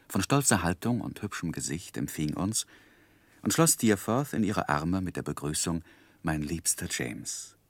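A reflective narrator in an old German radio play. His voice is deep, with wide, expressive intonation, recorded dry. He tells the story in measured, literary sentences. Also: no room sound, heavily acted. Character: David Copperfield as reflective adult narrator.

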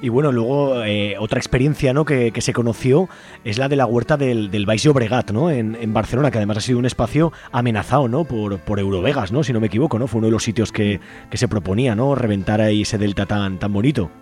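A male radio host speaks quickly in Peninsular Spanish over faint music. His interviewing is informal and conversational, and he often checks his phrases with short questioning tags. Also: mid-range voice, a little mellow. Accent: Spanish, from Spain.

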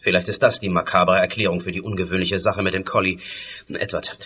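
A man playing a brisk, commanding investigator with a husky voice in a radio play. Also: low voice, level tone, sharp tone, full character voice. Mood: urgent authority. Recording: muffled studio recording.

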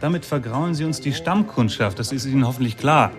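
A man's voice: mid-range voice, brisk, lightly acted. Defensive male shop owner played by an actor, polite, evasive, mid-range voice, radio drama with light music. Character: guarded male shop owner under police questioning, 20s. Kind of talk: German radio documentary drama acting.

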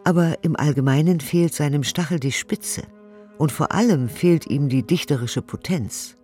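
A man's voice: on the high side, moderate pace, darkish tone. A man giving a formal, articulate, declamatory reading of old letters and reviews for a radio play. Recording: studio radio production with music.

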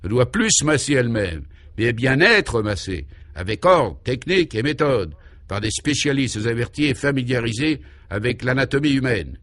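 A man's voice: medium pitch, sing-song, normal speed, a bit bright. A man voicing a self-assured local who proudly explains an absurd government in a pompous, emphatic way, in a comic radio serial. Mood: pompous, instructive. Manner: pompous, mock-official, emphatic.

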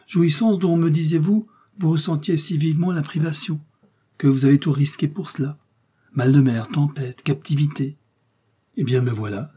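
A man's voice: mid-range voice, warm tone, slightly rough, voice pushed up, close to an everyday voice. A man playing a sardonic, cruel English nobleman with an ironic, mock-courteous manner in a storybook reading.

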